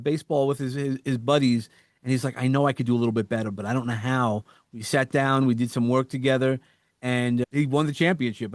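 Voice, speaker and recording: A man with a New York accent, a coach talking as a podcast guest, energetic and quick to repeat words and act out quotes. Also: mid-range voice, no room sound, studio quality. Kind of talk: casual interview chat.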